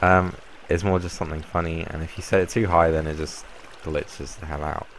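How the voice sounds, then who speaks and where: A young man with a croaky voice from a cold, casually explaining a game build in a rambling way. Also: sits low, brisk, slight background noise. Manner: relaxed, rambling explanation with fillers. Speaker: Minecraft YouTuber. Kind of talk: casual gameplay tutorial.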